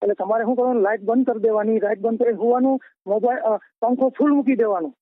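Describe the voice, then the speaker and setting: A man's voice: extremely high pitch, fairly even intonation, fast talker, no room sound. A man with a Saurashtra Gujarati accent in a private phone conversation, insistently repeating his instructions as the caller. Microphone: telephone. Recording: muffled lo-fi phone call recording.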